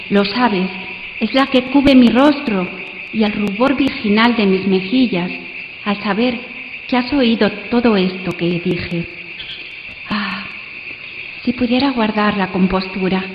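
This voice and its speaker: A woman's voice: mid-range voice, natural voice. A woman playing a lovestruck young noblewoman, coy and passionate, in a theatrical, ardent classical radio play. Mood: bashful. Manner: theatrical, ardent, swinging between bold and hushed. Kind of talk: live radio play, classical romantic drama. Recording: muffled, noisy, echoey hall with crickets. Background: cricket sound effects.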